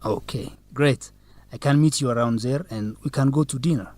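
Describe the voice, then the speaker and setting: A man's voice: medium pitch, normal speed, darkish tone, no room sound, lightly acted. Eager young male student leader, played by an actor. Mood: eager, courteous. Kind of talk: scripted educational radio drama dialogue.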